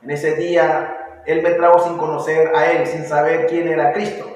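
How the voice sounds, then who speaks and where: Panamanian man, 40s, earnest and halting; flat delivery, distant echoey room. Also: on the high side. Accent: Panamanian Spanish. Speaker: church member giving testimony. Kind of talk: personal testimony. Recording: echoey hall, distant mic.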